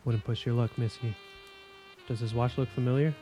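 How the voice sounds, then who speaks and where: A man's voice: medium pitch, fairly even intonation, normal speed, mellow, faint music behind, close to an everyday voice. A man playing a weary, skeptical police investigator in an audio drama, speaking in a dry, blunt, impatient way. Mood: probing, dry.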